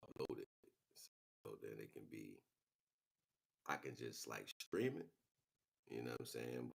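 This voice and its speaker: Super deep gravelly guy, slangy, kinda muttering thru a casual stream. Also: sing-song, measured pace. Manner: rambling, slangy, muttering to himself. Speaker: live streamer. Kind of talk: casual unscripted livestream chat.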